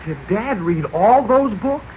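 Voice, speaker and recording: An actor playing a brash, curious teenage son in 1940s radio drama style, on a dull recording. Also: male voice, high voice, expressive, measured pace, a little hiss or hum. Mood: curious, amazed.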